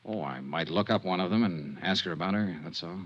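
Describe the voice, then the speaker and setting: An actor playing a polite, wry hard-boiled insurance investigator who also narrates a 1950s radio drama, in a dry, courteous manner. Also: male voice, sits low, fairly quick. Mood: polite, probing. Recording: muffled 1950s radio recording.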